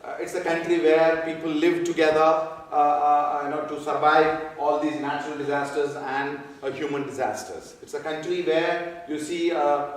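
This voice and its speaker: A man from Nepal giving a conference talk on social work, halting and full of fillers and repeated words. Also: a little high, fairly even intonation. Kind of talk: conference presentation. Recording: echoey hall, speaker far from mic.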